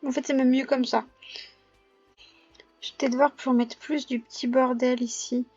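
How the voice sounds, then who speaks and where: A young woman with a high voice, hoarse from a cold, talks through her gameplay in a casual, halting way. She pauses often and corrects herself. The recording is close and dry, with a slightly muffled, lo-fi sound. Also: little pitch movement, darkish tone, no echo, faint music behind. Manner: hesitant, many filler pauses and self-corrections. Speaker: gaming vlogger.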